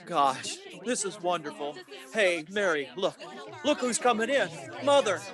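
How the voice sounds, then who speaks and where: A man's voice: high voice, lively intonation, measured pace, crowd noise. An amateur actor playing a grateful small-town husband and father in a radio play, exclaiming and heartfelt. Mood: overjoyed.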